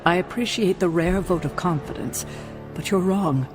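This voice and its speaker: A woman voicing an earnest, self-doubting superhero team leader in a video game, serious and deliberate. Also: lowish voice, over music, natural voice. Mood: troubled. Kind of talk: video game cutscene acting.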